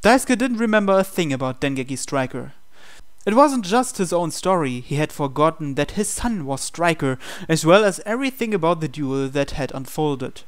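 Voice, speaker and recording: A man's voice: on the high side, lively intonation, moderate pace, clean tone. A man reading visual novel narration aloud as host of a game playthrough. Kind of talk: scripted narration read aloud.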